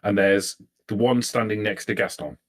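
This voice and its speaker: A man acting as game master, narrating a tabletop game in a halting, improvised way and repeating phrases as he thinks. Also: lowish voice, fairly even intonation, fairly bright tone.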